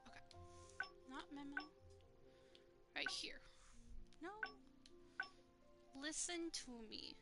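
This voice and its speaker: A young woman with a soft voice, chatting casually and laughing as she streams a video game. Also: high-pitched. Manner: rambling, chatty, laughs often. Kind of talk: casual gameplay commentary. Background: game music.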